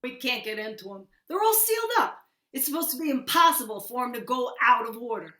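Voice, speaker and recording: Woman playing a bright, animated wisecracking working guy. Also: on the high side, a little fast. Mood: uneasy. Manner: slangy, animated. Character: wisecracking, henpecked working man at card game. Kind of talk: 1950s radio acting.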